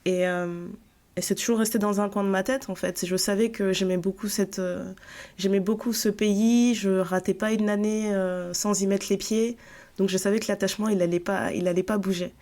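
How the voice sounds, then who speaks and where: Mellow-voiced French woman telling her story. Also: mid-range voice, normal speed. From northern France. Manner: relaxed, chatty, many fillers and restarts. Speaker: podcast guest. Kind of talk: casual storytelling chat.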